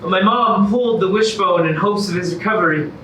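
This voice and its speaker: An actor playing a wistful, relentlessly smiling happiness contestant in a staged musical comedy reading. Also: male voice, sits high, brisk, a bit bright. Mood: wistful cheer. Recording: roomy, distant, noisy live stage recording.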